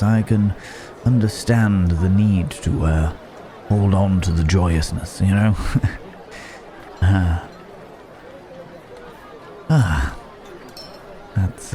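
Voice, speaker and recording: Guy doing a lonely polite werewolf traveller for asmr roleplay, really slow and musing, busy market noise behind him. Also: low voice, mellow. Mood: wistful, musing. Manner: courteous, hesitant, musing, old-fashioned phrasing. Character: lonely, courteous male werewolf traveller in a fantasy village. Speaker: roleplay voice actor. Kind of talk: immersive ASMR roleplay, improvised-sounding monologue. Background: bustling village market ambience.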